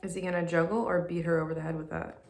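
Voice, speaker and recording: A woman's voice: on the low side, little pitch movement, quick pace, creaky, echoey room, loud music behind the voice. A woman hosting a reaction video, talking casually with candid, blunt asides.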